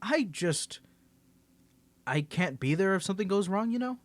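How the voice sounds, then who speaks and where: A man's voice: high-pitched, animated, moderate pace, no room sound. A man voice acting an ASMR roleplay as a cocky young superhero with a secret identity, stammering and teasing as he swings between confidence and nerves. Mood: nervous, reluctant.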